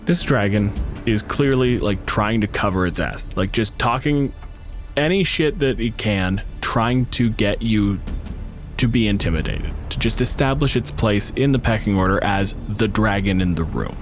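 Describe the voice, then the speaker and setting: Low-voiced man running a tabletop game. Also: lively intonation, moderate pace, soft background music. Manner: casual, profane, wry. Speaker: game master. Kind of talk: improvised tabletop game narration.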